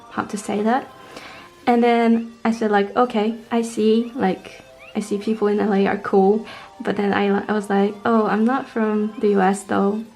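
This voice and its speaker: Mellow young woman chatting, vlog style. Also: medium pitch, vocal fry. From Poland. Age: young adult. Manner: chatty, informal. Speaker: vlogger. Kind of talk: casual storytelling to camera.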